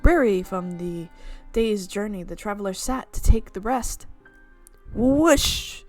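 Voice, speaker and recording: A woman streamer reads a visual novel's narration aloud with an expressive, playful delivery. Her pitch sits in the middle, and faint game music plays behind her. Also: slow pace. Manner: expressive reading with playful reactions.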